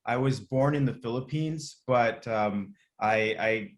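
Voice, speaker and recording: Man from California, interview guest, casual, reflective, hesitant phrasing, medium pitch. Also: unhurried. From Monterey area, California. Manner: hesitant, searching for words.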